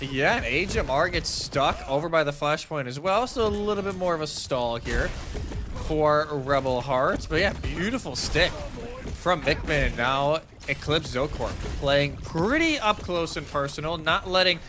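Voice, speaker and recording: A man's voice: on the high side, lively intonation, moderate pace. A man doing live play-by-play commentary on an esports match. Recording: clear background noise.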